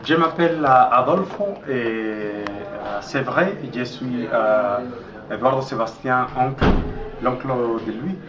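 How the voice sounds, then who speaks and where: A middle-aged man from Mexico with a Mexican Spanish accent, speaking French slowly and hesitantly as the guest in a casual interview, with many pauses and fillers. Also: medium pitch. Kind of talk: casual interview in a learned language. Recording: dull echoey recording with crowd noise.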